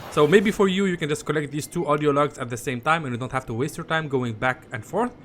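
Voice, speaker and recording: A man's voice: a little high, quick pace, a little hiss or hum. A man giving a casual, rambling game walkthrough, often repeating himself in a conversational way. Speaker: gaming video host.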